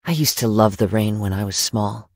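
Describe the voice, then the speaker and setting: A young man playing a gentle, poetic wandering samurai in a video game, soft-spoken and reflective, with formal, measured phrasing. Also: fairly low pitch, no room sound, studio quality. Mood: wistful. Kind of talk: video game character voice lines.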